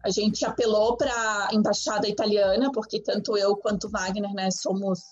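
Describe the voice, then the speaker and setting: A woman's voice: medium pitch, flat delivery, moderate pace, a little mellow, husky, muffled recording, faint music behind. A woman from Argentina with a southern Brazilian accent, a podcast guest telling her story candidly and with feeling. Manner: emotional, candid storytelling with fillers. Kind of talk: casual chat.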